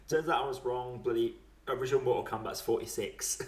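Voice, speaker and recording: A man's voice: medium pitch, fairly quick, darkish tone. Casual man podcasting in an echoey room. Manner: sweary, informal. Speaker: podcast host. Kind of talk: casual podcast chat about films and games.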